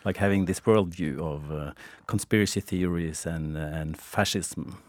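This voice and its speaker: A Norwegian man for whom English is a second language answers interview questions in a halting way, often repeating words and laughing softly. His voice sits low, and the sound is dry. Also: no echo. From Norway. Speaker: documentary filmmaker. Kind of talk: casual interview.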